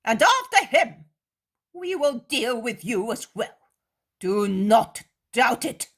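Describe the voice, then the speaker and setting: A woman voicing a furious male high priest who shouts contemptuous threats. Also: big pitch swings, slow, exaggerated voice.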